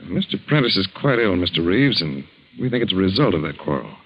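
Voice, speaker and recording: Earnest low-voiced man, old radio drama. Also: very animated, a little fast. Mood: brisk, polite. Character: earnest, determined young man investigating a murder plot. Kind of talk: 1940s radio drama acting. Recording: muffled, hissy 1940s radio recording.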